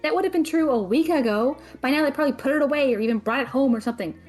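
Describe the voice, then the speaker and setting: A woman playing a sharp-tongued, responsible female student mage in an audio drama, blunt and sardonic. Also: high-pitched, very fast, faint music behind, lightly acted. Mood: exasperated.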